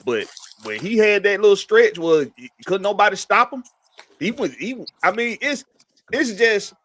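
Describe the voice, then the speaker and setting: Man, slightly high voice, halting speech, casual sports chat. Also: expressive, a bit bright. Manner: halting, searches for words.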